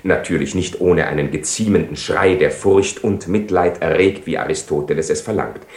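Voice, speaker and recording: World-weary ironic nobleman seducer, low voice, eloquent radio drama delivery, roomy 1970 studio. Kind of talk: 1970s German-language radio play acting.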